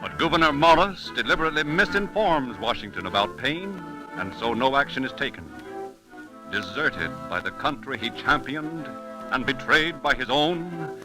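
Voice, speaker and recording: A man delivers dramatic narration in 1930s radio style over clear music. His mid-pitched voice is emphatic and theatrical. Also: lively intonation, normal speed, low-quality recording.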